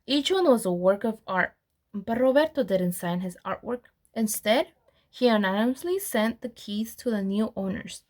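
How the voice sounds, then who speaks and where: A woman reading a children's storybook aloud in an expressive storyteller's manner, with deliberate pauses. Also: medium pitch. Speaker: program administrator reading aloud.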